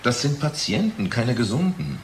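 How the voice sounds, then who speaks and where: A man's voice: mid-range voice, big pitch swings, moderate pace, close to an everyday voice. An actor playing a blunt, stubborn male research doctor in a radio play, dry and hesitant in manner. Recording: faint background noise.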